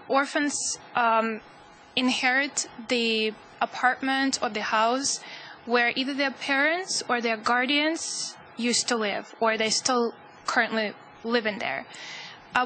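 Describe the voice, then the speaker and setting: A woman's voice: on the high side, sharp tone, a little hiss or hum, rough recording. A woman on a panel, fluently explaining her answer to an audience question. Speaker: panel speaker on orphan education.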